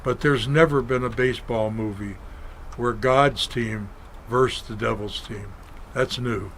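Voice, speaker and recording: An older man raised in Kentucky, a former stuntman and filmmaker, telling stories as a talk show guest in a plain, unhurried way. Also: medium pitch, steady tone, fairly bright tone, slight background noise. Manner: unhurried, plain storyteller, acts out quoted dialogue. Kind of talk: storytelling in an interview.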